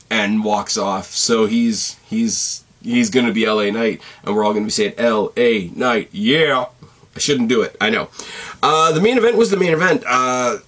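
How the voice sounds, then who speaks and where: A man hosting a wrestling show, talking in a casual, opinionated way while losing his voice and sounding a bit raspy. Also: medium pitch, a bit bright. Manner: opinionated, swearing, rambling. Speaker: wrestling podcast host. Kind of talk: casual commentary.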